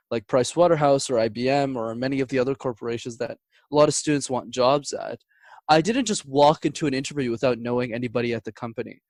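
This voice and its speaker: A man's voice: medium pitch, darkish tone, no room sound. A man giving conversational advice as an interview guest, articulate and instructive, laying out points with examples. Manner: articulate, instructive, structured explanations. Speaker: communication coach, interview guest.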